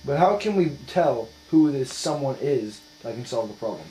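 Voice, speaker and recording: A man with a mid-pitched voice asks interview questions from a script. His delivery is formal and polite. The room is very echoey, and a low electrical hum runs under the recording. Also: animated, normal speed, warm tone.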